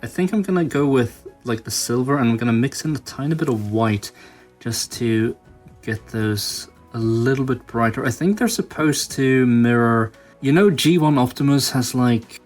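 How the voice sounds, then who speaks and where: A man giving casual, hands-on tutorial commentary as a video host, musing aloud in halting phrases. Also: mid-range voice. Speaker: toy customizing video host.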